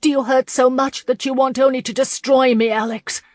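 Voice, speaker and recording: A woman's voice: a little high, steady tone, brisk, no room sound, clean studio sound, natural voice. A woman narrator voicing a heartbroken, defiant young woman in a period romance, speaking in impassioned, tearful outbursts. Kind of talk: audiobook character voice.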